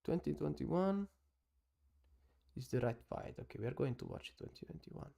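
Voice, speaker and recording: Italian man as a live-stream sports analyst: gravelly, mellow, halting non-native English. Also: a little high, expressive. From Italy. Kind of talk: casual live-stream commentary.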